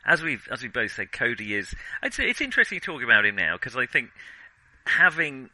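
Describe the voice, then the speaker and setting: A man's voice: mid-range voice, sing-song, fairly quick, pushed and bright, dry recording, low-quality recording. A man co-hosting a film podcast, talking casually and hesitantly as he corrects himself.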